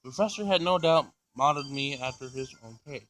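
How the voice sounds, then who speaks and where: A man's voice: medium pitch, a little fast, fairly bright tone. A man reading a visual novel aloud for a gaming video, stumbling and repeating words, with asides of his own. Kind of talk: let's play read-aloud with asides. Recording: music behind the voice. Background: music.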